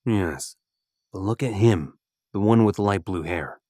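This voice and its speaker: Male actor as scheming fantasy bandit: low, measured, matter-of-fact, dry sound. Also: animated, warm tone, no echo. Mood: cool, scheming. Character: calculating male bandit archer, scheming and pragmatic. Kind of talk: audio drama acting.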